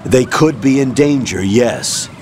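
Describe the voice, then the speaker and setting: Man voicing a stern colonel, curt commanding orders, mid-pitched, faint noise behind. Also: slow pace, darkish tone, close to an everyday voice. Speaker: commanding officer on radio. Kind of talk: video game cutscene acting.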